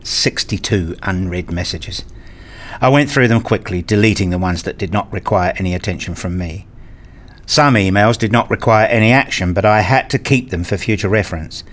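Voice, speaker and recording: A man's voice: sits low, normal speed, fairly bright tone. A man acting a frustrated, restless academic who narrates his dreary days in a radio play, wry and grumbling. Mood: glum, matter-of-fact. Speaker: narrator and lead character. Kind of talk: first-person radio play narration and dialogue.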